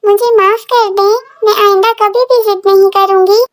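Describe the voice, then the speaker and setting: A stubborn small village girl from a children's cartoon, acted by a woman. The voice is extremely high and childlike, in a dry recording with no room sound. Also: fairly even intonation, moderate pace, no room sound. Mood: remorseful, pleading. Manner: childlike, simple, pleading complaints. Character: stubborn little village girl, frightened and tearful when lost. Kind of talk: children's cartoon story acting.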